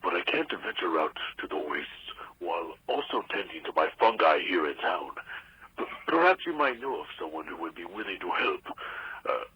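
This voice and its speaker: Man playing a very deep, raspy, fussy mushroom grower. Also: big pitch swings, normal speed, sharp tone, heavily acted, poor audio. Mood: wistful, hopeful. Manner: hesitant, stammering, rambling. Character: nervous, fussy mushroom grower calling a wasteland radio show. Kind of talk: audio drama voicemail acting.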